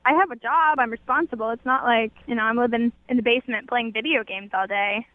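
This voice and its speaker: A young woman phones a radio show in a high-pitched voice. She chats casually, with a giggly, gushing manner. The line is narrow and tinny, with very little room sound around her. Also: quick pace, no echo. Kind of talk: casual chat. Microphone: telephone.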